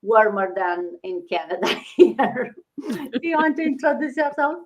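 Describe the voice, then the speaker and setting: A woman from Romania hosting a casual live stream, talking haltingly with many fillers and restarts. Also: a little high, animated.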